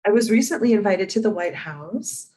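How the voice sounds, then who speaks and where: A woman giving live spoken interpretation for a deaf panelist, in a measured, steady way. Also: medium pitch, far from the mic, low-quality recording. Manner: measured, steady, spells names letter by letter. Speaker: sign language interpreter voicing a deaf panelist.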